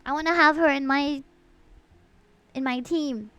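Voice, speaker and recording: A young woman from the Philippines live-streaming a game, reading dialogue aloud and chatting in a playful, expressive way. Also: sits high, measured pace, no room sound, muffled recording. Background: faint game music.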